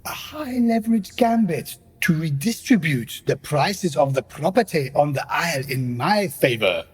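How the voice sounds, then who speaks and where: A man's voice: fairly high pitch, lively intonation, a little slow, breathy edge. A man playing a bitter, vengeful, scheming villain in a radio play, with a grandiose manner. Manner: grandiose, embittered. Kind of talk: theatrical radio play monologue.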